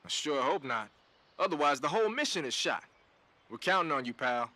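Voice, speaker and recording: A man's voice: a little high, very animated, fairly quick, pushed and bright. An actor playing a laid-back, streetwise male tech specialist in a video game, talking casually with slang. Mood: concerned, wry.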